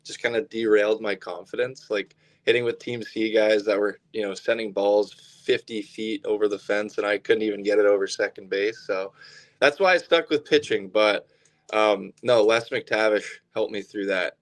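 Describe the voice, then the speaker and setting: A man's voice: lowish voice, steady tone, normal speed. A man, answering casually and reflectively as an athlete in an interview. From southern Alberta, Canada. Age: 20s. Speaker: baseball pitcher being interviewed.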